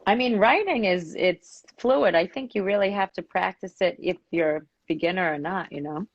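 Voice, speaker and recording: A woman hosting a casual interview show, encouraging her guest with quick reactions. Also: on the low side, dry recording. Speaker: talk show host. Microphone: video call. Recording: dull lo-fi video call audio.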